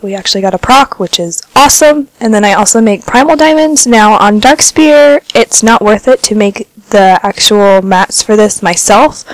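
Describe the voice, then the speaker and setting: A woman's voice: medium pitch, no room sound, poor audio. Congested woman chatting over gameplay. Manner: relaxed, chatty, filler-heavy. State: slightly congested from a cold. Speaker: gaming vlogger. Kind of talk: casual gameplay commentary.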